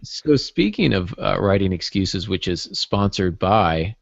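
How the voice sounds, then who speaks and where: A man's voice: sits low, expressive, measured pace, creaky, no room sound. A man chatting casually as a podcast co-host, with a wry, joking manner. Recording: dull, muffled lo-fi audio.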